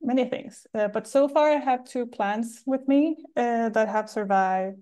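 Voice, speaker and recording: A woman's voice: fairly high pitch, a little slow, dark tone. A woman from Venezuela speaking as a panelist, cheerful and self-deprecating. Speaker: panelist, software engineer. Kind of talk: casual introduction.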